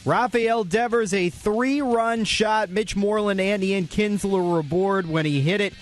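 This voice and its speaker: A man hosting a radio postgame show, recapping the highlights in a brisk, informative, scripted way. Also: soft background music.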